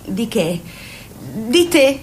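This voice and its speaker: A woman's voice: a little high, sing-song, very slow, slight background noise, heavily acted. An actress playing a fussy, chatty middle-aged mother who nags her grown son and sells lipsticks by phone. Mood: nagging, pushy. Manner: nagging, pushy, overly sweet. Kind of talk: radio play acting.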